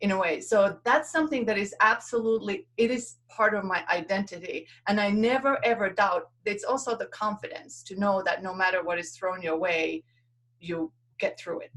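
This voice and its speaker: A woman's voice: mid-range voice, moderate pace, fairly bright tone, low-quality recording. A woman from Finland, a native Finnish speaker, talking as a podcast guest in a reflective, conversational storytelling style with an earnest, lightly laughing manner.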